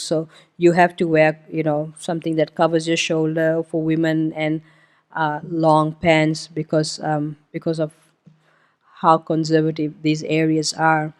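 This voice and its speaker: A woman's voice: deep voice, a little mellow, clean tone. A woman whose first language is Hindi, speaking as a missionary guest speaker at a church service. She tells her story informally and talks through a map with frequent "um"s and "uh"s. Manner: informal, explains while pointing at a map, frequent "um" and "uh". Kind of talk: conversational testimony talk.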